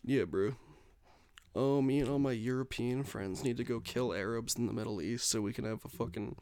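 A man of ordinary pitch co-hosting a podcast, recorded dry and close. He talks in a mumbly, profane, deadpan way and keeps hedging his points. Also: normal speed, clear, resonant tone, no room sound. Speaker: podcast co-host. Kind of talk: casual chat.

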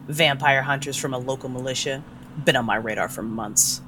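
An actress playing a tough, bossy vampire fixer in an audio drama, speaking in a blunt, commanding way. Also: female voice, very deep voice, normal speed, bright, forceful tone, slight background noise. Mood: cool, businesslike. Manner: blunt, commanding, hard-edged.

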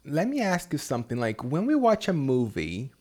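A man from Brazil with a Brazilian accent, an English teacher chatting as a podcast guest and asking curious questions with many pauses and "um"s. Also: a little high, wildly swooping pitch, a little mellow. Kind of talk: casual conversation.

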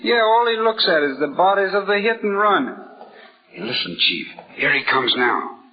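An actor playing a blunt, chatty morgue attendant who talks in working-class slang, in 1940s radio style. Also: male voice, high-pitched, sing-song, moderate pace, slight background noise. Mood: matter-of-fact. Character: slangy, matter-of-fact male city morgue attendant. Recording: muffled old-time radio recording.

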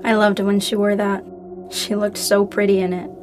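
A woman's voice: mid-range voice, level tone, normal speed, close to an everyday voice. A woman playing a young, grieving daughter, wounded and earnest, in a naturalistic audio drama. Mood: wistful. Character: grieving daughter, wounded, angry yet willing to reconcile. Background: music.

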